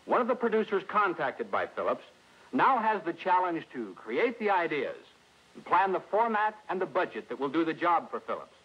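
A man's voice: fairly high pitch, big pitch swings, moderate pace, slightly rough. A middle-aged American man presenting scripted 1960s industrial film narration as a company spokesman, in a formal, salesmanlike way. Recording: dull, lo-fi vintage film soundtrack.

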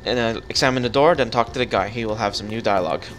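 A young man chatting casually while guiding a friend through a game, relaxed and full of fillers. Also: medium pitch, quick pace, a little hiss or hum. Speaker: gaming channel host. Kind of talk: casual co-op gameplay chat.

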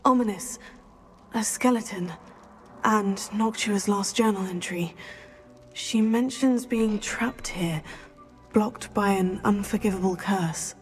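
A woman playing a calm, persuasive teenage student witch in a video game, a slightly husky voice and a polite, measured manner. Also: mid-range voice, soft background music, close to an everyday voice. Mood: concerned. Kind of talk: dramatic video game voice acting.

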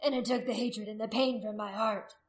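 A woman voicing a hostile male pagan warrior in a story, declaiming forcefully as in a heated argument. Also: medium pitch, fairly even intonation, fairly quick, natural voice. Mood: defiant.

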